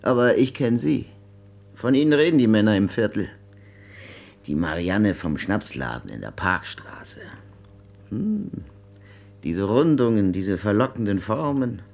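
Radio thriller role: oily, lonely-sounding male flatterer, unhurried and insinuating, with very swooping intonation. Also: fairly low pitch, gravelly, heavily acted. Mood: oily, flattering. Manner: insinuating, halting, repeats himself. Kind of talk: German radio crime drama acting.

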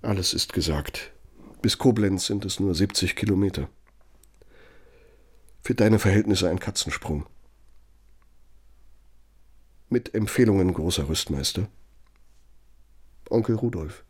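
An actor playing an older, proud and bitter architect in a radio play, self-justifying in a measured, self-righteous way. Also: male voice, low voice, slightly rough. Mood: reproachful, bitter. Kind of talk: naturalistic radio play monologue and dialogue.

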